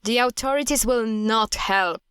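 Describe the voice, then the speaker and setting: A woman's voice: a little high, measured pace, fairly bright tone, clean tone, no room sound, clean studio sound. A woman playing a blunt, guarded cult hunter in an audio drama, speaking in a terse, matter-of-fact way. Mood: firm.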